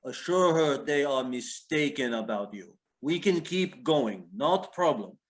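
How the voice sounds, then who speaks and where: An actor playing a menacing, impatient Russian gangster in a play reading, with a Russian accent and curt broken English. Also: male voice, mid-range voice, animated, measured pace, lightly acted. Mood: cold, curt. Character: menacing, impatient Russian mob boss's enforcer, a man.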